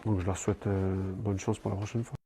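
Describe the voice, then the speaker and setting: A footballer answering interview questions in a calm, plain-spoken way. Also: male voice, on the low side, fairly quick, slight background noise.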